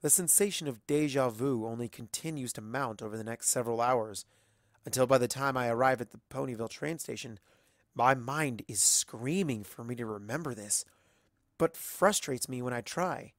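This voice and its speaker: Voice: medium pitch, moderate pace, no echo, clean studio sound. A narrator reading a first-person story expressively, as in an amateur audiobook. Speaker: fan fiction reader.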